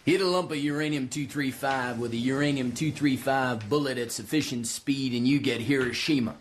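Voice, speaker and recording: An actor playing a folksy, swaggering American military scientist in a radio drama, breezy and glib with an American accent. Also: male voice, medium pitch, moderate pace, slight background noise, close to an everyday voice. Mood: brisk, showy briefing. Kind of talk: British radio drama acting.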